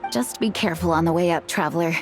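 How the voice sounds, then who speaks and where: An actress playing a calm, dutiful female knight leader who speaks in a measured, kind way. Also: lowish voice, close to an everyday voice. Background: soft game music.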